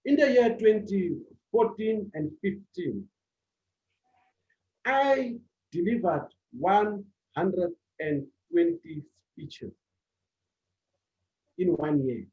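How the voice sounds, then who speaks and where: A man with a fairly high voice delivers a motivational talk, slowly and deliberately. He builds his points from short, punchy phrases and repeats them for emphasis. The sound is dull and echoes through a room. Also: expressive, dark tone. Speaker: public speaking club speaker. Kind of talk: motivational speech.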